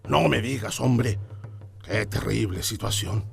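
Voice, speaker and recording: A man playing a grave newspaper chief in a radio drama, musing and giving orders in a gravelly voice. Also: fairly low pitch, measured pace, soft background music. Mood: grave, concerned. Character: grave newspaper chief receiving terrible news.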